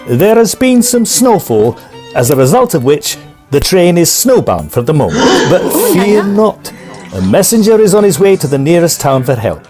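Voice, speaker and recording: An actor playing a folksy Scottish train conductor in an audio drama, with an old-fashioned storytelling manner. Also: male voice, sits high, big pitch swings, moderate pace, over music. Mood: blustering, reassuring. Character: garrulous Scottish train conductor telling old local legends.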